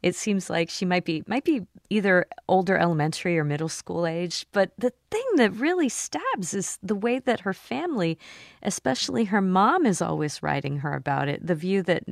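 A woman hosting a radio show, reading her intro and asking interview questions with polished, measured delivery. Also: lowish voice, expressive, gravelly, no echo, clean studio sound.

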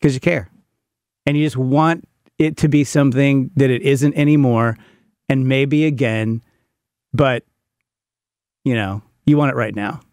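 A man's voice: medium pitch, fairly even intonation, a little slow, long pauses, darkish tone, no echo, studio quality. A man in his fifties telling personal stories conversationally, in a self-deprecating and emphatic way. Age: 50s. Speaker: podcast guest, parent of a teenager. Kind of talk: candid conversational storytelling.

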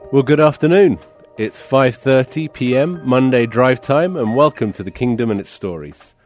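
A man's voice: mid-range voice, lively intonation, normal speed, darkish tone, soft background music. A man co-hosting a radio interview, asking friendly, rambling questions full of fillers. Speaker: radio co-host.

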